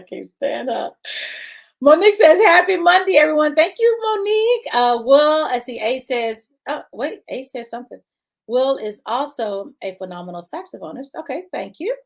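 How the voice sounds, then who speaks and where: A woman's voice: sits high, big pitch swings, moderate pace, creaky. A woman hosting a casual live-stream chat, bubbly, laughing often and reading viewer comments aloud. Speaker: show host.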